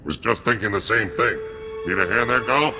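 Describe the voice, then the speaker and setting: An easygoing soldier acted by a man in a game cutscene, casual but dutiful. His lowish voice rings in a long hall reverb, with music behind. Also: moderate pace, close to an everyday voice. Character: easygoing, dutiful male soldier leading a rescue squad. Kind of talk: video game cutscene acting.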